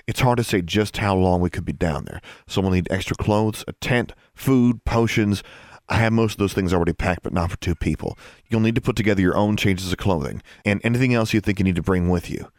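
A man's voice: low voice, brisk, darkish tone, dry recording. A man voicing a hot-tempered, protective young wizard in an audiobook story, with a growling, snappish manner. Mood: resigned. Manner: growling, snapping, sighing through clenched teeth.

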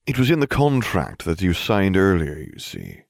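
A man's voice: low voice, huge pitch swings, normal speed, gravelly, dry recording, clean studio sound, heavily acted. A man voicing a cheerful, unflappable old wizard headmaster with a genteel manner. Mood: breezy. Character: twinkly, scheming old male headmaster wizard.

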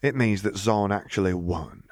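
A man's voice: low voice, normal speed, vocal fry, no echo. A man voicing a world-weary woman bartender in an audiobook, with a dry, grumbling manner. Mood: wry, resigned.

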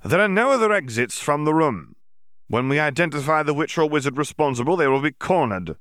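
A man puts on a smug Auror chief, pitched a bit above his own voice, louder and clipped. He sneers and gives orders in a dry, close recording. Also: lively intonation, fairly quick, no room sound. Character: smug, brusque middle-aged male Auror chief.

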